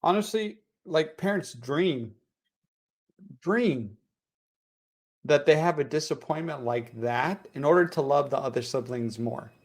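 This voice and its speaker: A man's voice: on the high side, animated, unhurried. A man co-hosting a casual news podcast, trading teasing banter and laughing often. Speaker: podcast co-host.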